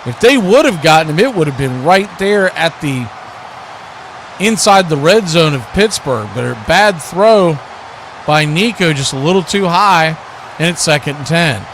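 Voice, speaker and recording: An American man giving live play-by-play football commentary in a folksy, animated way, full of homespun exclamations and bursting into loud calls. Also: on the high side, unhurried, slight background noise. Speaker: play-by-play sports commentator on a radio watch party.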